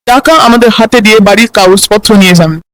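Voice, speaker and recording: A man voicing an eager, smooth-talking house seller with a reassuring, persuasive manner in a cartoon. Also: high voice, a little fast, fairly bright tone, no echo, low-quality recording.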